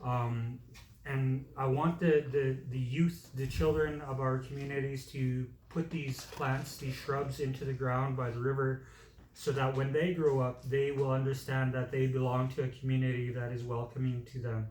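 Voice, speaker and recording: A man's voice: mid-range voice, level tone, normal speed, darkish tone. A man giving a community speech, plain-spoken with frequent fillers. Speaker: community speaker. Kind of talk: public speech. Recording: echoey room, distant mic, faint noise.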